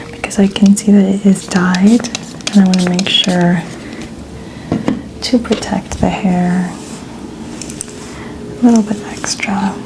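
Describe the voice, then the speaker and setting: A woman speaking in a soft, breathy, often whispered ASMR style, gently explaining a hairstyling routine step by step. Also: mid-range voice, background noise. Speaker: ASMR host playing hairstylist.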